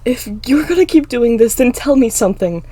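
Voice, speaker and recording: A woman voicing an anguished, defiant teenage demon girl in a fantasy story, pleading in impassioned shouts. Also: a little high, lively intonation, brisk, breathy edge, dry recording, voice pushed up. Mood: angry, demanding. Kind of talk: dramatic fantasy audiobook acting.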